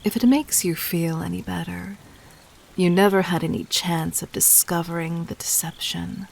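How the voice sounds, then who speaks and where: A woman playing a regal, cunning, gently dominant demon queen in a roleplay audio drama, speaking formally and intimately with measured, breathy poise. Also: on the low side, steady tone. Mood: poised, knowing. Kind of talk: scripted roleplay audio drama addressed to the listener.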